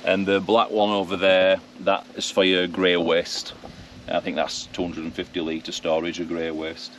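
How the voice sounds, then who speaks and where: Low-voiced Barnsley man outdoors. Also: completely flat delivery, moderate pace, a bit bright. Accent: Barnsley, Yorkshire. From Yorkshire, England. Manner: plain-spoken, matter-of-fact explaining. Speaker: motorhome owner. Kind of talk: casual walkaround tour. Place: outdoors at a campsite. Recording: distant voice, wind and outdoor noise.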